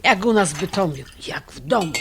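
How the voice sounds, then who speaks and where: An actress playing a bossy, doting middle-aged mother in an audio drama, brisk and fussy as she scolds. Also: lowish voice, expressive, noisy background. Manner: brisk scolding, cutting him off.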